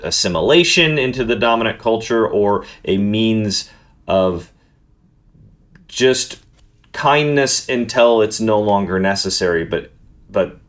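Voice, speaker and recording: Male book reviewer, low-pitched and earnest, talks off the cuff and stumbles over restarts. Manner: earnest, thoughtful, restarts words often. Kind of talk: unscripted book review monologue.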